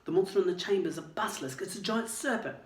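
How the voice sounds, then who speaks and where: Earnest teenage schoolboy, read by a man, raised pitch, urgent and eager, roomy distant sound. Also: fast, close to an everyday voice. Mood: excited.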